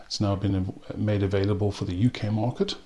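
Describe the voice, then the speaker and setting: A man from South Africa with a South African accent, reviewing a rum in a relaxed way with frequent pauses and ums. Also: fairly low pitch. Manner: unhurried, with frequent ums and restarts. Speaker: spirits reviewer. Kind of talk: casual spoken tasting review.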